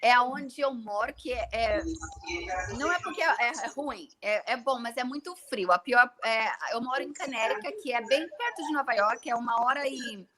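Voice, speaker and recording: A woman's voice: fairly high pitch, moderate pace, crowd noise. A Brazilian woman in her thirties, a nurse, explaining her studies in a casual chat, often stopping to restart her sentences. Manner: hesitant, many restarts while explaining. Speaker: interview guest, nurse. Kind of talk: casual live-stream chat.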